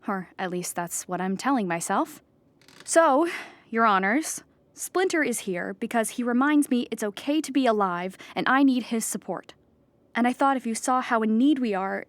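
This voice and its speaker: A woman's voice: a little high, expressive, normal speed, no room sound, lightly acted. A woman playing an earnest, lonely, depressed clown pleading before judges in an audio drama, rambling and childlike as she justifies herself. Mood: sad, subdued.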